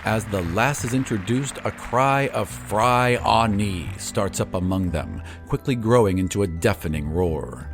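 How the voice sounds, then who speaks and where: A man's voice: lowish voice, expressive, unhurried, hardly any pauses, faint music behind. A man narrating a tabletop fantasy game as its game master.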